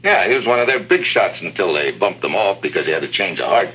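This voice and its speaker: A man's voice: deep voice, sing-song, fast talker, bright, forceful tone, breathy edge, exaggerated voice. A man acting a wry, tough American secret agent in a 1950s radio drama, with sardonic, wisecracking delivery. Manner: sardonic, hard-boiled, wisecracking. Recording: dull old radio recording.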